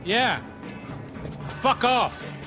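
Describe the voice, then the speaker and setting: High-pitched, animated New bodyguard. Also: male voice, very slow, exaggerated voice. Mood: defiant. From New York, family from Brazil. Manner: brash, chummy, slangy. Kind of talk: cinematic video game acting. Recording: clear music behind the voice.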